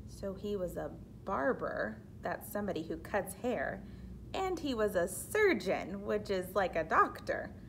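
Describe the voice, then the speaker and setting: Slow, lively woman reading to children. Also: mid-range voice, slight background noise, low-quality recording. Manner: simple teacherly explanations, expressive reading. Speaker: reader of a children's book. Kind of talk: read-aloud storytelling with explanatory asides for children.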